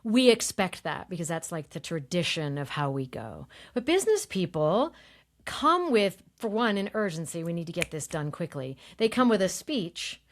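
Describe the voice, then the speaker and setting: A woman voice coach explaining in a relaxed interview, her voice on the higher side, and she often demonstrates tones with it. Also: moderate pace. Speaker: voice coach guest. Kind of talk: conversational interview, demonstrating voice techniques.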